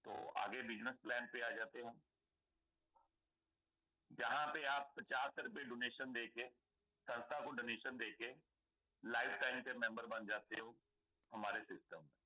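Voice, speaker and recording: A man with a hoarse throat makes a persuasive pitch for a donation scheme. He explains each step slowly and goes back over the key points. His voice has a very bright, sharp edge. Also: fairly even intonation, poor audio. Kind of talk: sales pitch on a call.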